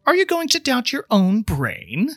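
A man's voice: very high-pitched, huge pitch swings, a little slow, a bit bright, dry recording, soft background music, pitched above the performer's own voice, full character voice. A man voicing an earnest, impatient trainee guardian angel who explains things with mild condescension in a storybook reading. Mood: reasoning, condescending. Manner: explanatory, mildly condescending toward humans.

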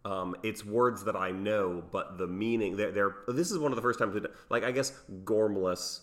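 A man's voice: on the low side, normal speed. A man co-hosting a casual chat, joking around with playful puns. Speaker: podcast co-host.

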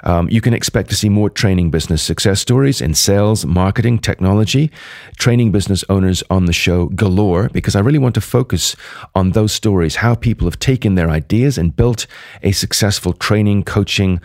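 A hoarse man hosting a podcast, wrapping up a solo episode and previewing guests, talking rather quickly with frequent restarts. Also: sits low, a little mellow, dry recording, clean studio sound. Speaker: podcast host. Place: at a sales conference.

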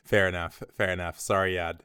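An American man hosting a podcast in easy casual chat, upbeat and quick to laugh. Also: low-pitched, little pitch movement, sharp tone, smooth, dry recording, studio quality. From United States. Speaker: podcast host.

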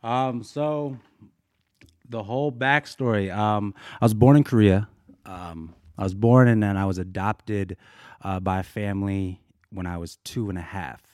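A man's voice: fairly low pitch, measured pace, darkish tone, no room sound, studio quality. A man from Colorado, a dancer and podcast guest, telling his backstory in a laid-back, rambling way and acting out quotes. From United States, Colorado. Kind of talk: casual storytelling interview.

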